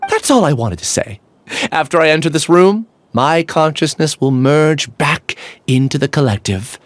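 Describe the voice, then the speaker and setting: Man playing a calm, resigned young researcher. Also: a little high, normal speed, lightly acted. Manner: calm, earnest, explaining himself. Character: quiet young male researcher resigned to joining a hive mind. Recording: faint game music behind.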